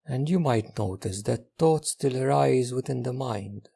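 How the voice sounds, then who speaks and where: Husky, mellow man guiding meditation. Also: mid-range voice. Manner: gentle, unhurried instruction with long pauses. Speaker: meditation guide. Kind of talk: guided meditation narration.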